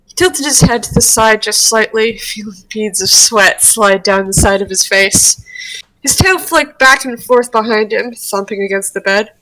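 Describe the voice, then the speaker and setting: A woman's voice: medium pitch, normal speed, bright, forceful tone, poor audio. A woman reading scripted roleplay narration aloud in an informal way.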